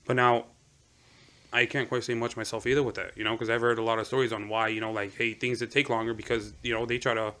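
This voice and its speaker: A man raised in Seattle, whose first language was Romanian, chats on a podcast at a rapid clip. His voice is bright and forward. He rambles, leaning on "you know" as he builds his points. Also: medium pitch, flat delivery. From Seattle, United States. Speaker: podcast guest. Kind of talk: casual podcast conversation.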